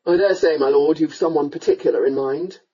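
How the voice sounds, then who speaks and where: Dry, sardonic young viscount, voiced by the narrator, mellow and husky. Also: mid-range voice, brisk, lo-fi sound, lightly acted.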